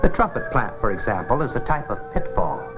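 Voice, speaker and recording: A man's voice: medium pitch, big pitch swings, a little fast, music in the background. An American man presenting a 1950s educational science film, explaining in a conversational, folksy way with rhetorical questions. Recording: dull 1950s film soundtrack.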